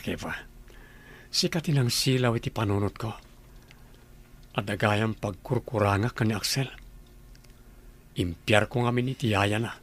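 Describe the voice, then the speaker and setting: Troubled Ilocano father played by a man, mid-pitched. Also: husky, close to an everyday voice. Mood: grateful, regretful. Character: concerned father and boss, troubled, earnest adult man. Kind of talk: radio drama acting.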